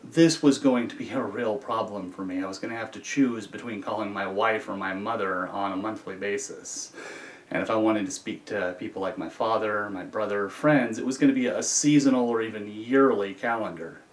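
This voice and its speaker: A man's voice: on the low side, steady tone, moderate pace, far from the mic, a little hiss or hum. A man telling a personal story in a conversational monologue, as a former prisoner looking back. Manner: conversational, acts out dialogue.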